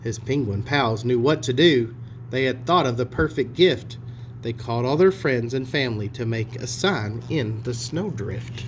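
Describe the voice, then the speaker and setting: A man reading a picture story aloud to children in an expressive storytelling style. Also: medium pitch, normal speed, slight background noise. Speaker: storybook reader.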